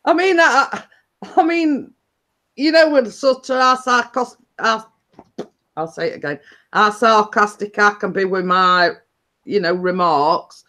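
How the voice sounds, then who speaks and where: A middle-aged woman hosts a relaxed chat. She rambles through her story, often stops to chuckle, and uses dialect turns like "were" and "me day". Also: mid-range voice, a little slow. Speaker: host. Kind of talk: casual chat.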